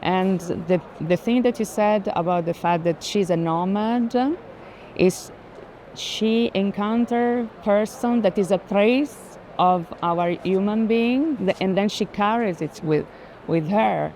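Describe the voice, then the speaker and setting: A woman in a reflective conversation about art, phrasing her thoughts haltingly. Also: medium pitch, a little mellow. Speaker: art collector. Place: busy art fair. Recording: clear urban ambient noise.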